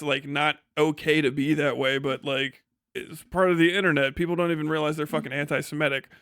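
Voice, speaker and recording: A man with a fairly high voice hosts a livestream, ranting casually. He is blunt and sardonic and swears freely. The sound is close and dry. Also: fairly bright tone, no room sound. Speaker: livestream host.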